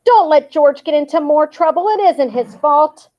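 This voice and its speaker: Woman voicing an eager little girl, storybook, high and bright, outspoken. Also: lively intonation, moderate pace, dull audio. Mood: pleading. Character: lively young girl, outspoken and encouraging.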